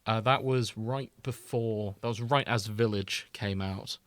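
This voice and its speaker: A man's voice: on the low side, steady tone, a little slow, a bit bright, dry recording. Teasing man bantering on a podcast, dry sound. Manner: teasing, sweary. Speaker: podcast host. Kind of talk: casual banter.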